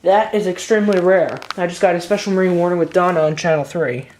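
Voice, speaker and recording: A woman's voice: on the low side, fairly even intonation, fast, noisy background. A woman giving casual, chatty commentary as an amateur video host. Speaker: amateur video host and weather alert enthusiast.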